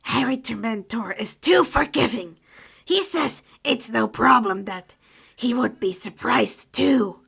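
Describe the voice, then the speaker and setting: A grouchy male house elf servant from a fantasy storybook, acted out by a woman. He scolds protectively and speaks of everyone in the third person, slowly and stubbornly. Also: a little high, expressive, vocal fry, a bit bright, breathy edge, exaggerated voice. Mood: grudging.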